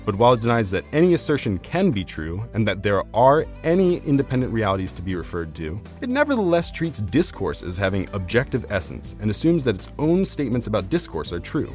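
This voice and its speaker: A low-pitched man delivers a scripted argument in formal, academic language. His intonation moves up and down in a lively way, and he articulates carefully. Faint music plays behind him. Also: brisk, few pauses. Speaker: video essayist, narrator.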